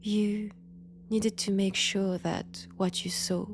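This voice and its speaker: A woman playing a mad vampire in an intimate audio roleplay, with a French accent, seductive, menacing and mockingly tender, speaking in halting short phrases. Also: medium pitch, level tone. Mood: ominous, measured. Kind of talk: slow intimate roleplay monologue.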